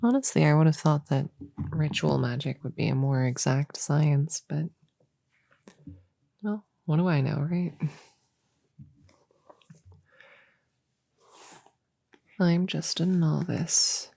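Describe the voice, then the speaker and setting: A woman playing an eager, slightly grumpy young novice witch in a soft-spoken ASMR roleplay, chatting casually and hesitantly to a friend in a breathy voice that often drops to a whisper. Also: low-pitched, steady tone, measured pace, full character voice. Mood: musing, casual. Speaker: audio roleplay performer. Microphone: close microphone in a dry space.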